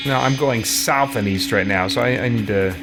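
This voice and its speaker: A man's voice: medium pitch, normal speed, over loud music. A man giving casual let's play commentary, thinking aloud and muttering to himself as he goes, often repeating words. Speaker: gamer hosting a let's play.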